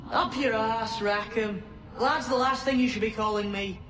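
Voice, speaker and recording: A woman's voice: on the high side, steady tone, normal speed, a bit bright, distant mic, close to an everyday voice. An actress playing a tough, blunt female pirate with a coarse manner. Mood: brusque. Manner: brusque, coarse. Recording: echoey, from afar, faint noise.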